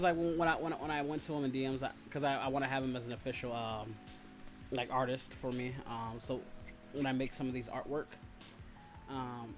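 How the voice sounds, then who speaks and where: A man hosting a casual livestream, chatting with viewers in a rambling, repetitive way with teasing complaints. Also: a little high. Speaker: livestream host. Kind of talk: casual livestream chat, reading and answering viewer comments.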